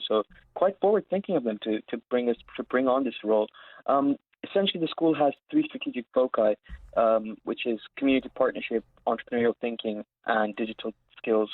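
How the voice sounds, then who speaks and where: Quick young man on a phone-like line. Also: mid-range voice, no room sound. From Saudi Arabia. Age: 20s. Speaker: interview guest, founder of an education platform. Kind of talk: conversational interview answers. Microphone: remote call line. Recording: narrow phone-like live line.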